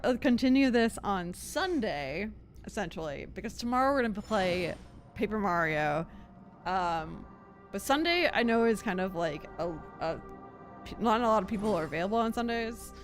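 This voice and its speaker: A woman chats casually on a live game stream. Her delivery is lively and very varied in pitch, giggly and talkative as she thinks out loud. Faint music plays behind her. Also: normal speed, creaky. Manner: giggly, chatty, thinking aloud.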